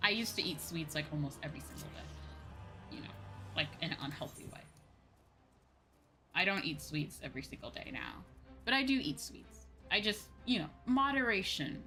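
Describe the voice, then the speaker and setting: Low-pitched woman streamer chatting over music. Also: fairly bright tone. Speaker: streamer hosting a live gaming stream. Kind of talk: casual chatty live game stream, chatting with viewers. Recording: music playing behind the voice.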